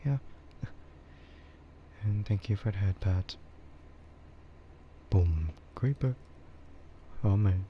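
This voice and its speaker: A man chatting casually and soft-spoken with his livestream viewers, rambling in a relaxed way. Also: lowish voice, drawn-out words, pauses often, muffled recording, slight background noise. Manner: relaxed, soft-spoken ASMR yapping, often chuckling. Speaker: streamer, VTuber host.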